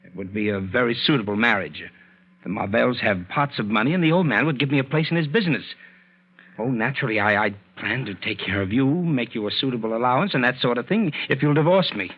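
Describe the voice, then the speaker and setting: A man's voice: medium pitch, lively intonation, fast. An actor playing a weak, self-pitying, snobbish young man from a rich family, evasive and petulant, in a 1940s radio drama. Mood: self-serving, defensive. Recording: dull vintage 1940s radio recording.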